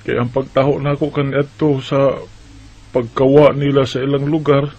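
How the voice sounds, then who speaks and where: A man's voice: medium pitch, level tone, moderate pace, darkish tone, highs cut off, natural voice. A man playing a lonely husband who is searching for his lost wife and children, speaking in a weary, plaintive way. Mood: downcast. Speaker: son. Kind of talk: radio drama acting.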